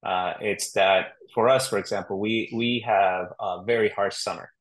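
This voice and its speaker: A middle-aged man from Honduras talks casually as an interview guest. His voice sits a little low, and he rambles easily, with plenty of fillers and restarts. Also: fairly even intonation, normal speed, rough recording. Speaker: real estate agent guest.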